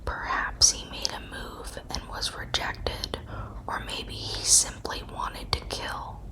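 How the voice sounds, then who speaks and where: Woman whispering slowly, ASMR narration. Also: sharp tone. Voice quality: breathy unvoiced whisper. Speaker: true crime narrator. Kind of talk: whispered ASMR scripted true crime narration.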